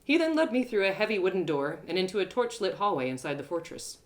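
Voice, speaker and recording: A woman storyteller giving an expressive first-person narration of a fantasy adventure in a live reading. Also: on the low side, fast talker. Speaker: storyteller, one-woman audio drama performer.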